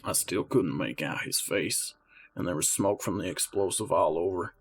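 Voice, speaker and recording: A man's voice: low-pitched, moderate pace, slight background noise. A man playing a grim, hard-boiled police detective who narrates his story in an amateur audio drama. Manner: somber first-person storytelling.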